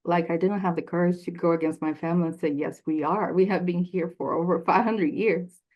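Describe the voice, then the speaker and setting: A woman's voice: fairly low pitch, fairly even intonation, brisk. A woman from Peru speaking on a panel in a heartfelt, personal way. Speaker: panelist, engineer. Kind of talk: casual panel talk. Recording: dull lo-fi sound.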